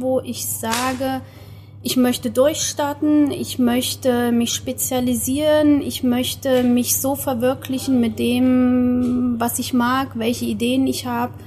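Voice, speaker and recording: Earnest woman guest, slightly high voice. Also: slight background noise. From Germany, near Erfurt. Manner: steady, earnest, with fillers. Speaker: guest, photographer. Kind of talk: interview answers. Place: outdoor terrace. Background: outdoor ambience.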